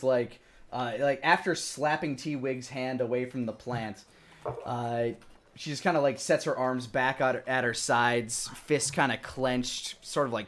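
A man in his thirties improvising narration as a dungeon master, pausing to think as he goes. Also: medium pitch, a bit bright. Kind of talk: improvised tabletop game narration.

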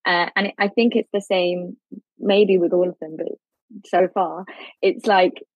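A woman's voice: on the low side, steady tone, measured pace, dry recording, lo-fi sound. A woman legal expert on a podcast, thinking aloud as she explains the law, with frequent restarts. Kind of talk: podcast discussion.

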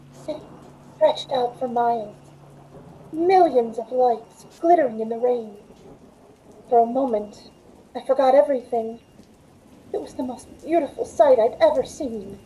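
Actress as terrified heroine narrating her ordeal, vintage radio thriller style, high mellow voice, dramatic delivery, rain effects behind. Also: measured pace, long pauses, soft background music, low-quality recording. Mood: tense, hushed. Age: middle-aged. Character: frightened, desperate working woman held captive, telling her ordeal. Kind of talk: old-time radio suspense acting, first-person narration. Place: indoors at home, on a video call.

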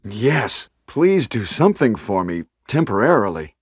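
A caring, weary young police hero from an old video game. His husky voice moves slowly and stiltedly with wide pitch swings. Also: very animated, dry recording, clean studio sound, full character voice.

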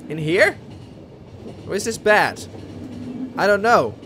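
A man's voice: high voice, sing-song, takes it slowly, pushed and bright, slight background noise. Dutch man chatting over a game. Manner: chatty, thinks aloud, reads game text aloud. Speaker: gaming video host. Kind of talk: let's play commentary. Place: home room at a desk. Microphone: headset.

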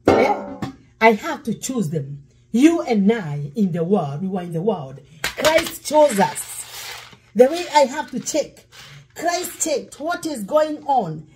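A woman's voice: fairly high pitch, big pitch swings, slow pace, music in the background, rough recording. Lady pastor, preachy, sings bits, recorded on a camera across the kitchen counter. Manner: preachy, breaks into song. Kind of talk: cooking demo sermon. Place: home kitchen. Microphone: fixed camera across counter.

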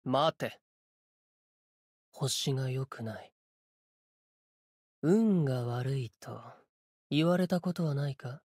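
A man voicing a solemn, cryptic sword spirit warrior in a Japanese game, with measured, portentous, archaic phrasing and a breathy voice. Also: mid-range voice, expressive, darkish tone, no echo, studio quality, exaggerated voice. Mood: cool, imperious. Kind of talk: Japanese game character voice lines.

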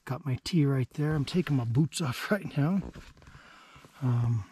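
A man in his thirties vlogging solo from a small tent while camping, talking slowly and sometimes dropping to a whisper. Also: medium pitch, a little hiss or hum. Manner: slow, relaxed, drops to a whisper at night. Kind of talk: casual vlog narration. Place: small tent outdoors in the mountains.

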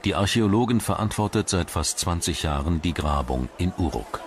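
A man reading scripted documentary narration in a measured, formal manner. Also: low-pitched, expressive, noisy background. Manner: measured, formal read delivery. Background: background music.

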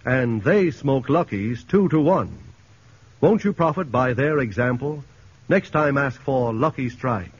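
A man announcing a 1940s radio commercial with formal, emphatic, measured phrasing. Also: medium pitch, animated, husky. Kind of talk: 1940s radio advertising pitch. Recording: muffled 1940s radio broadcast.

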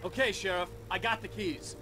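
An actor playing an irritable, sarcastic hero in a video game thriller, speaking in a sardonic way. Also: male voice, sits high, steady tone, normal speed, noisy background, natural voice. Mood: wry, brisk. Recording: game dialogue under loud music.

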